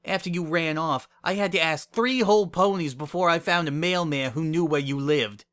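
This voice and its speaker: Man as snooty female pony, lifted pitch, bright sharp tone, sniffy, scornful, storybook drama. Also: moderate pace, close to an everyday voice. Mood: haughty. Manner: pompous, sniffy, indignant. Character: haughty, scornful young female pony confectioner.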